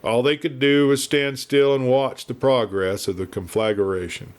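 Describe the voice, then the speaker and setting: Male audiobook narrator, medium pitch, dramatic Western storytelling, theatrical scripted read. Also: steady tone, normal speed, smooth.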